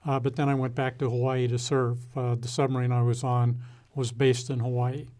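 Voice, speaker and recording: Older American man speaking in measured phrases. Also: mid-range voice, little pitch movement, dark tone. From United States. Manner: measured, halting delivery with deliberate pauses. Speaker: interview guest, retired executive and former naval officer. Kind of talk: reflective interview conversation.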